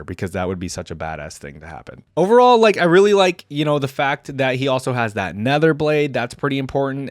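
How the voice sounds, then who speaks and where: A man's voice: medium pitch, no echo, studio quality. A man hosting a casual video game reaction, rambling informally and talking straight to his viewers. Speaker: YouTube reaction host.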